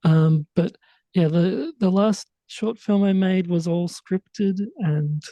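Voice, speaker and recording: A man's voice: sits high, slow, warm tone, no room sound, highs cut off. A man, a writer-director guest chatting casually in an interview, rambling and full of fillers. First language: English.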